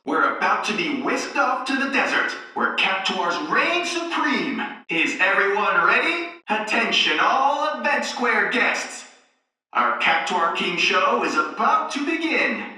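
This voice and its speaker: An actor playing an excitable theme park announcer who tells children's stories with theatrical, showy drama. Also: male voice, sits high, measured pace, very echoey, distant mic, soft background music, heavily acted, poor audio. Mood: showy welcoming.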